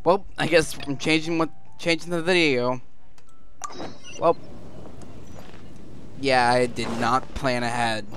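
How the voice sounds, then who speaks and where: Slow-paced man commenting casually on a game. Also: medium pitch, steady tone, fairly bright tone, faint music behind. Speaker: gaming video creator.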